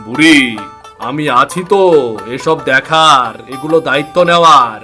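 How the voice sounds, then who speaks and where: A smooth, friendly buyer in a cartoon, coaxing and reassuring in tone. His voice sits a little high, with music playing underneath. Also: normal speed, sharp tone. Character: smooth-talking eggplant buyer, friendly to old woman. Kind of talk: cartoon voice acting. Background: background music.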